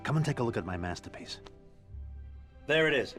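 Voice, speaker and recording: A man's voice: medium pitch, expressive, brisk, a bit bright, faint music behind, close to an everyday voice. An actor voicing a proud, courteous male scientist in a video game, explaining his invention with enthusiasm.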